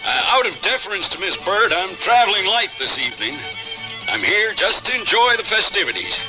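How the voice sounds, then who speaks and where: An actor playing a notorious mountain outlaw with a courtly, teasing manner in an old-time radio drama. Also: male voice, high-pitched, lively intonation, fairly quick, low-quality recording. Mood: breezy, assured. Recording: old radio broadcast with loud music.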